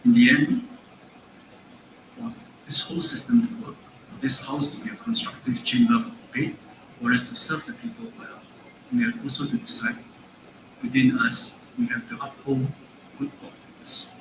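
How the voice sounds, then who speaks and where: A man's voice: medium pitch, sing-song, moderate pace, long pauses. A man debating emphatically in parliament, using rhetorical questions. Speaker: government member of parliament. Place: parliament chamber. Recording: echoey chamber with faint noise.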